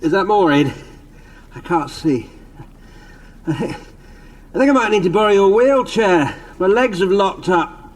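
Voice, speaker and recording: A man's voice: sits high, expressive, a little slow, pauses often. An actor playing a hapless, childishly enthusiastic man in a suit of armour, blustering and stammering in farcical radio sitcom style. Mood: bewildered.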